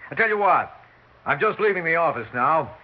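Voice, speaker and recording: A man's voice: a little high, very animated, a little fast. A man playing a brusque, self-satisfied Broadway producer in 1960s radio drama style, wisecracking and dismissive. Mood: brisk. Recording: dull vintage radio recording with hiss.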